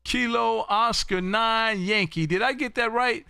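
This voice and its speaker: An American man calling out as an amateur radio live streamer, loud and showy, often repeating his phrases. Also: very high voice, a little slow, creaky, heavy background noise. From Texas. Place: home radio room.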